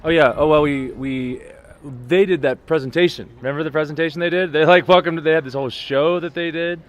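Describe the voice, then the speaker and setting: A man's voice: a little high, steady tone, fairly quick. A man hosting a casual on-location interview outdoors, speaking as an informal, encouraging teacher. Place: outdoors in a city.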